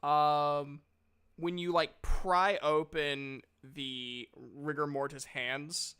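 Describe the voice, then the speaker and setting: A man running a tabletop game as game master, improvising descriptions haltingly as he searches for words. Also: on the high side, flat delivery, pushed and bright, smooth.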